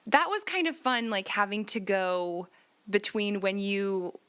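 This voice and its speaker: Bright-voiced woman chatting casually. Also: mid-range voice, unhurried, clean tone. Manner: informal, peppered with "like". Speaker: tabletop game player. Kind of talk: casual chat.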